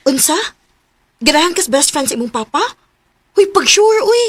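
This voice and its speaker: A woman's voice: sits high, big pitch swings, normal speed, no echo, faint music behind. A woman playing a teasing young best friend in a radio drama, with a Cebuano accent. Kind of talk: Filipino radio drama acting.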